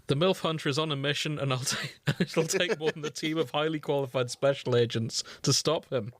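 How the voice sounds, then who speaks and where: A man's voice: on the high side, fairly quick, no room sound. Understated man co-hosting a podcast, dry sound. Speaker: podcast co-host. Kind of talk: casual chat.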